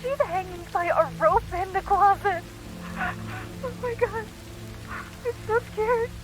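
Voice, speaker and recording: A woman's voice: very high-pitched, moderate pace, music in the background, poor audio. A woman playing a frightened, pleading sister. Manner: pleading, tearful and accusing. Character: frightened young woman alone, grieving sister. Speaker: caller. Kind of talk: game voice acting.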